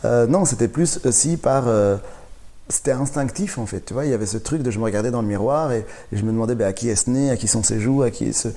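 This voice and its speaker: A man's voice: medium pitch, fast, dark tone. A man, a comedian telling his life story as a guest in a casual interview, laughing often and restarting his sentences. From born in Rwanda, raised in Belgium. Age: 40s.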